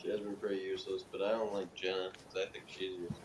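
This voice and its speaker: A man's voice: low-pitched, flat delivery, fast talker. A man talking casually in a group chat reviewing a television show. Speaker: review panelist. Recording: roomy echo, background noise.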